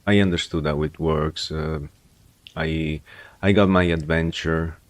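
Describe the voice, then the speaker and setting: Male streamer with a low voice, dry close audio. Unhurried and reflective, rambles through advice. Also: fairly even intonation, no echo. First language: English. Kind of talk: casual livestream chat.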